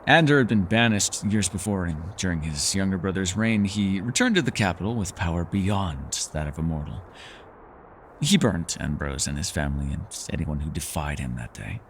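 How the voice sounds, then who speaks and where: A man plays a centuries-old elf recluse in an intimate fantasy audio roleplay. His voice is low and moves widely in pitch, with measured, courteous phrasing, small hesitations and a soft wry edge. There is noticeable ambient noise behind him. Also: animated. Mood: grave, confiding. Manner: measured, formal phrasing, hesitant and softly teasing. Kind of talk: fantasy ASMR roleplay acting, intimate one-sided dialogue.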